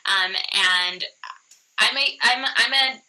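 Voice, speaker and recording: Attorney host for an online webinar: woman, young adult, very bright, sharp voice, chatty with lots of fillers, over a computer mic. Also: fairly high pitch, slow, poor audio. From born in Iraq, raised in the US. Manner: chatty, frequent "um" fillers and restarts. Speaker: immigration attorney presenting a webinar. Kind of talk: presenting a webinar.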